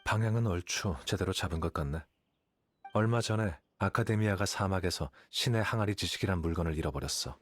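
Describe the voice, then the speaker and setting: A man playing a calm, aloof, calculating young scholar in a video game, explaining things in a composed, matter-of-fact way. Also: deep voice, a little fast, no room sound. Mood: calm, explanatory. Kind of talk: scripted game dialogue, Korean voice acting.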